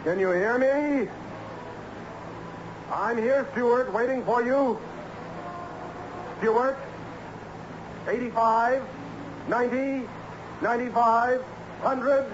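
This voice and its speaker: A man's voice: extremely high pitch, slow pace, long pauses. An actor playing a frightened but determined man hunting someone dangerous in an old-time radio drama. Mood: desperate calling out. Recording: muffled, noisy old radio recording.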